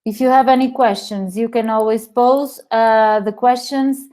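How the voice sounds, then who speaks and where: A woman with a Portuguese accent presenting a webinar over a video call, halting often and restarting her sentences. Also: a little high, fairly even intonation, warm tone. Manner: hesitant, frequent pauses and restarts.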